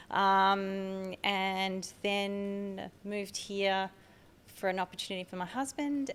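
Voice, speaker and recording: A woman's voice: mid-range voice, fairly bright tone, a little hiss or hum. A middle-aged Australian woman from Tasmania, a guest on a podcast interview, speaking earnestly with frequent fillers. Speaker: marketing manager guest.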